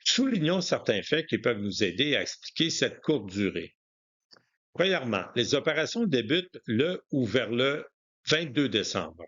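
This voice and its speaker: A man's voice: medium pitch, sing-song, normal speed, a bit bright, dull audio. A man reading scripted historical narration as a documentary narrator.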